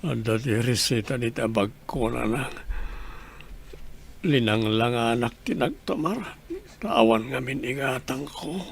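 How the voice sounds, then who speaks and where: A man playing a remorseful, sickly husband and father in a radio drama, halting and apologetic. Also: mid-range voice, vocal fry, a little hiss or hum. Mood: subdued. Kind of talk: Ilocano radio drama acting.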